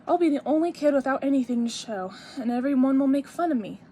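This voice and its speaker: A woman's voice: high-pitched, lively intonation, quick pace, warm tone, slight background noise. A woman voicing a worried little schoolgirl from a picture book, with emphatic delivery.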